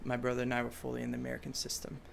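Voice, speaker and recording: A man's voice: medium pitch, completely flat delivery, very fast, vocal fry, a little hiss or hum. A young man from France, a native French speaker, talking in a casual interview with many fillers and restarts. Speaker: pop singer guest.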